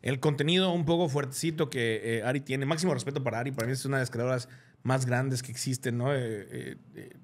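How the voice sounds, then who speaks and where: A man's voice: medium pitch, steady tone, fast talker. A young man with a Mexican accent, co-hosting a podcast in casual, slangy, jokey chat, laughing often. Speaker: podcast co-host. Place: podcast studio.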